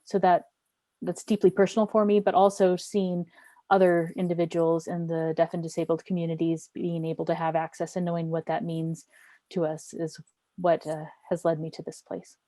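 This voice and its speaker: Mellow, lowish-voiced woman on a panel. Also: flat delivery, normal speed, dry recording. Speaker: museum accessibility head, panelist. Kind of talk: composed personal introduction on a panel.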